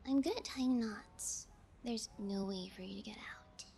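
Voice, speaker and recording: A woman's voice: mid-range voice, measured pace, vocal fry, warm tone, slight background noise. A woman voicing a playful, sociopathic young female fiend kidnapper in an ASMR roleplay, with sugary taunts and theatrical pouting. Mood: teasing, threatening.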